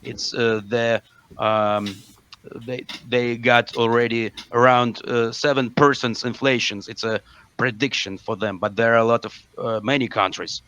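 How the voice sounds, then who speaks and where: A man with an Eastern European accent asking halting interview questions in stumbling non-native English. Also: medium pitch, fairly even intonation, bright, forceful tone, clear, resonant tone. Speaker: prank caller posing as interviewer.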